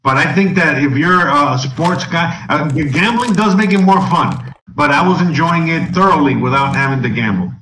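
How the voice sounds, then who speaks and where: A man's voice: on the high side, animated, normal speed, sharp tone, far from the mic. A man co-hosting a sports betting podcast in casual chat, loose and swearing, calling everyone bro. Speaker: podcast co-host. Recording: echoey room, off the mic, faint noise.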